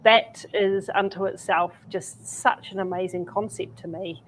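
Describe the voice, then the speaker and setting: A woman's voice: mid-range voice, fairly even intonation, measured pace, a little hiss or hum, low-quality recording. A woman guest in a casual interview, talking with enthusiasm and checking in with "right?" and "you know". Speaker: guest speaker.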